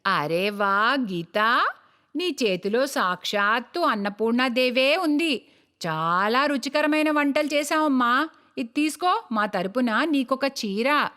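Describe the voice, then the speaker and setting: A woman playing a gracious middle-aged mother-in-law in an animated moral story, polite and appreciative. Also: sits high, slow, exaggerated voice. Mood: delighted.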